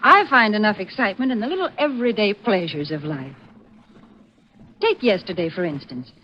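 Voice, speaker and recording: A woman's voice: medium pitch, lively intonation, fairly quick, lightly acted. A woman playing a cheerful, chatty homemaker with a polished, folksy manner in a 1940s radio advertisement. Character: cheerful, chatty female homemaker pitching tea. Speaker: sponsor spokeswoman. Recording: muffled, slightly noisy 1940s radio recording.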